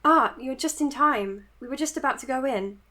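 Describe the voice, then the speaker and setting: A woman performs a self-doubting young doctor in an audio drama. Her voice is high, and she speaks earnestly and hesitantly, with real care. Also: normal speed, noisy background, natural voice. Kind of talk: naturalistic audio drama acting.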